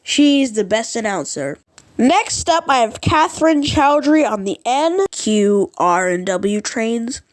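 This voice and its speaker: A woman's voice: a little high, expressive, slow pace. A woman giving casual, rambling vlog commentary in an informal, unsure and self-deprecating way. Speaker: vlogger ranking subway announcements.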